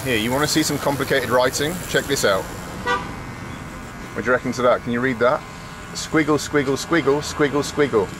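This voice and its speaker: A man's voice: medium pitch, a little fast, pauses often. Chatty man vlogging outdoors by a road. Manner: chatty, jokey, talks to camera and to locals in simple English. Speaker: travel vlogger. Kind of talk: casual travel vlog narration. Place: open-sided roadside barber hut, then a sunny street outdoors. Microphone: handheld action camera at arm's length. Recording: outdoor street noise behind.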